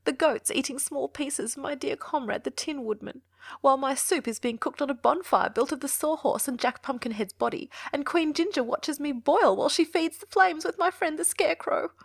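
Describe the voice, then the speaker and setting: A man voicing a pompous, fussy male insect scholar, high and fretful, in an audiobook reading. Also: normal speed, gravelly. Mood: distressed.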